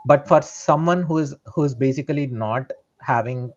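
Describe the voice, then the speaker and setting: A man's voice: medium pitch, moderate pace. Man, muffled lo-fi audio. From India. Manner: explanatory, checks in with "right?". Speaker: trader. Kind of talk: live trading session explanation.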